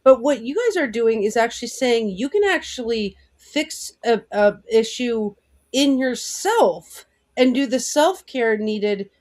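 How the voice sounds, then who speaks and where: A woman's voice: fairly high pitch, lively intonation, measured pace, darkish tone. A woman hosting a casual online talk, thinking aloud in a rambling way with many restarts. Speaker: host. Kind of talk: casual chat.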